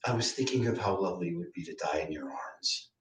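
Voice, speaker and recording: A man's voice: sits low, expressive, fast talker. An actor playing a wistful, flirtatious, theatrical man who pines for love in a staged play reading. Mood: hushed, longing. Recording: echoey, distant mic, faint noise.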